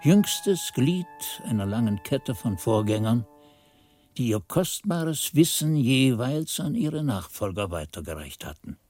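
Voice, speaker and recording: Expressive, mellow monk narrator, husky edge. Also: male voice, mid-range voice, a little slow, soft background music. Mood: reflective. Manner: literary, earnest, expressive. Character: monk narrator, devoted pupil recalling his master. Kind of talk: scripted radio drama narration.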